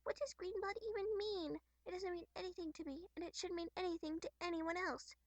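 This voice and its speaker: A woman voicing a playful, excitable, catlike teenage troll girl with a childlike, teasing manner. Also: very high-pitched, fairly quick, no room sound, voice pushed up. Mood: teasing indignation.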